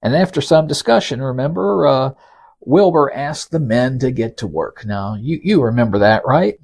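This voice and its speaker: A man's voice: mid-range voice, expressive, moderate pace, creaky, darkish tone, slightly rough. A man hosting a podcast, telling scripted stories in a conversational, folksy way with teasing asides to the listener. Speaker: podcast host and narrator. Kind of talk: conversational scripted storytelling, reading quotes.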